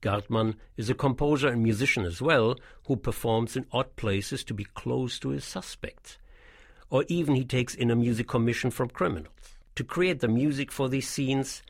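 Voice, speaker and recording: Husky, lowish-voiced male narrator, dry recording. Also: no echo. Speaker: narrator of an audio tour. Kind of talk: scripted explanatory narration.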